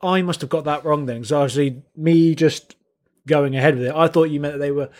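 A man's voice: on the high side, steady tone, a little fast, a little mellow. A man with a Buckinghamshire countryside accent chatting on a podcast, often repeating words as he gathers his thoughts and reacting with enthusiasm. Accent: Buckinghamshire, English countryside. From Buckinghamshire area, England. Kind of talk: casual podcast chat.

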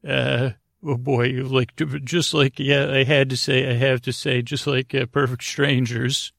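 A man hosting a sleep podcast in a halting, meandering, goofy and self-deprecating monologue, with lulling delivery and a gravelly voice. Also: mid-range voice, level tone, a bit bright, no room sound, clean studio sound. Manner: meandering, halting, stumbling over words. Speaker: podcast host. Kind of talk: rambling bedtime monologue to help listeners sleep.

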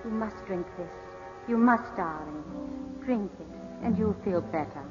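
An actress playing a poised, soothing widowed cousin who coaxes gently, in 1950s radio drama style on an old broadcast recording. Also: female voice, medium pitch, measured pace, close to an everyday voice. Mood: soothing, hushed. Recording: muffled 1950s radio broadcast, music behind.

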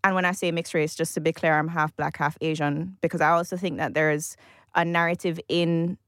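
A woman from Jamaica speaks articulately in a casual discussion, with a playful streak. Her voice sits a little low for a woman. The sound is dry and close. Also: little pitch movement, brisk, a bit bright, clean tone, dry recording. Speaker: panel guest.

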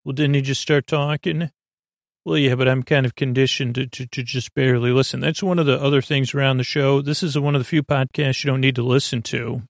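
A man with a creaky voice hosting a sleep podcast, meandering haltingly through a goofy, self-deprecating bedtime monologue. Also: mid-range voice, steady tone, no room sound, studio quality. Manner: meandering, halting, trails off and repeats himself. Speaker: podcast host. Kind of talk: rambling bedtime monologue for falling asleep.

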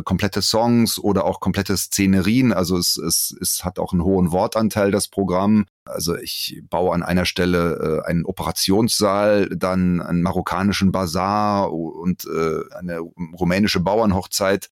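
A man's voice: low-pitched, darkish tone, no echo, clean studio sound. A man being interviewed as a performer, talking casually and thoughtfully as he explains his work. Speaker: interviewed voice actor and live performer. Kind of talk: casual interview talk, anecdotes.

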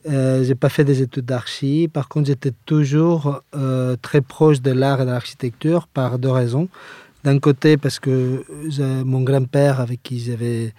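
Mellow Spanish-accented man in interview. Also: mid-range voice, level tone, a little slow, dry recording. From Valencia area, Spain. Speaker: interviewee, engineer and architecture firm co-founder. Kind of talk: conversational interview answers.

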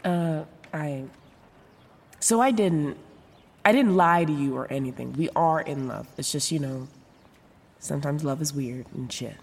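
A woman's voice: low voice, a little slow, gravelly, pauses often, darkish tone, husky, long reverb tail, heavily acted. An actress playing an anxious, chatty young woman who rambles casually and swears in a naturalistic audio drama monologue. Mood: nervous, defensive. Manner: rambling, casual, sweary, stop-start self-talk. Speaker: lead actor in an audio drama.

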